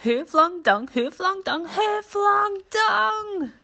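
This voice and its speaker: A woman voicing an excitable, accusing male monkey who yells the same question over and over in a shrill voice. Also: takes it slowly, full character voice. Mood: indignant yelling. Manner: shrill repeated yelling.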